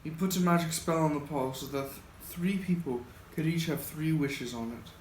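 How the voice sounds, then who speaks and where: A man's voice: fairly high pitch, normal speed, lightly acted. A young man in an amateur school play acting a reluctant, wary middle-aged army sergeant major. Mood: reluctant, grave. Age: young adult. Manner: reluctant, warning. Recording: echoey room, faint noise.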